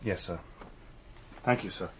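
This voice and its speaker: A man's voice: low voice, unhurried, fairly bright tone, breathy edge, full character voice. An actor playing a dutiful, polite male police constable in a radio crime drama. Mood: deferential.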